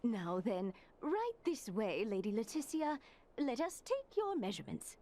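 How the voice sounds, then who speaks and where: Brief: fantasy shopkeeper tailor, theatrically polite and deferential, very lively melody. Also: female voice, on the high side, a little slow. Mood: businesslike, gracious. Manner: formal, fawning, theatrical politeness. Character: gracious, effusive, deferential lady tailor. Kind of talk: video game cutscene acting.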